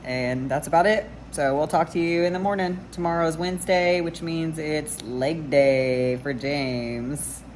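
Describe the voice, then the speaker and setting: A woman with a deeper-than-usual voice, vlogging in casual chat; blunt, and she swears casually. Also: fairly even intonation, unhurried, few pauses, a little mellow, slight background noise.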